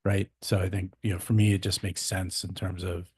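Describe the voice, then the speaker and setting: A man speaking as a board member at a public meeting, measured and agreeable, restarting his phrases often. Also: deep voice, flat delivery, a little mellow, husky.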